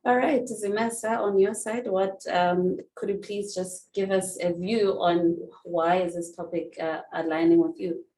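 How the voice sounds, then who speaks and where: A woman's voice: fairly low pitch, fairly even intonation, measured pace, few pauses, dark tone. A woman hosting an online panel discussion, upbeat and enthusiastic as she introduces guests. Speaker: host. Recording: echoey room, faint background noise.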